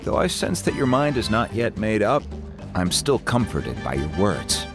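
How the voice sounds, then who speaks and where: A solemn, tradition-bound older chief from a fantasy game. His voice is low and measured, with formal, dignified phrasing, over clearly audible music. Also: very animated. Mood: grave, courteous. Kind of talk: video game character acting.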